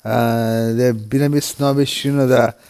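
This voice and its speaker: A man from the United Kingdom speaking straight to camera in a halting, subdued way, full of restarts and "you know" fillers. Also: medium pitch, steady tone, smooth. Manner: subdued and quiet, grieving. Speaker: vlogger. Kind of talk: emotional personal monologue.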